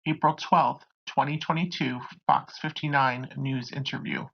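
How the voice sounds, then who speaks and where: A narrator with a raspy voice hosting a true crime video, reading from a script on a dull home recording. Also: medium pitch, fairly even intonation, moderate pace.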